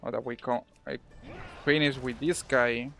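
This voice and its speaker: A Colombian man whose first language is Spanish, streaming a game and chatting casually, halting and restarting often. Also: mid-range voice, steady tone, a bit bright, slight background noise. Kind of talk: casual gameplay commentary.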